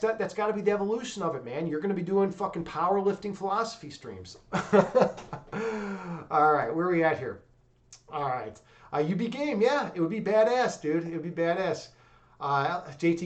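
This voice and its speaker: Excitable, high-voiced young streamer dude. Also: male voice, brisk. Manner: loose, profane, excitable, lots of "dude" and "man". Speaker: livestream host. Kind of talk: casual livestream chat with viewers.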